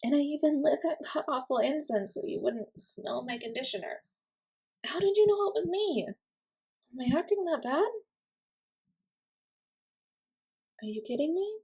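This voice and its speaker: A woman's voice: sits high, animated, moderate pace, mellow, slightly rough, full character voice, poor audio. A woman playing an awkward, sarcastic young friend with secret feelings in a roleplay audio, speaking casually, hesitantly and with self-deprecating wit. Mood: flustered, exasperated. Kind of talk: scripted intimate roleplay audio, casual confession.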